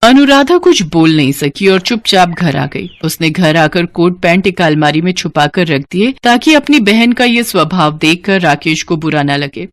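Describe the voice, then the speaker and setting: A woman's voice: on the low side, lively intonation, normal speed, few pauses, no room sound. A woman reading scripted narration for a moral story.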